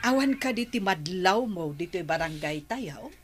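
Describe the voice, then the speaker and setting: A woman's voice: lowish voice, expressive, a little slow, soft background music. A woman with an Ilocano Filipino accent playing a plain-spoken village woman in a radio comedy-drama. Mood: questioning.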